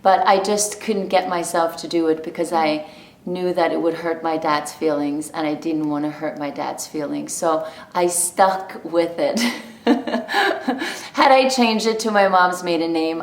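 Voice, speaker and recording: A woman from Norway talks straight to camera in a relaxed vlog, telling her story slowly and with plenty of laughs. Her voice sits a little low, and the room gives it a noticeable echo. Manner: chatty, animated storytelling with laughs. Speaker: vlogger. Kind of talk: casual talk to camera.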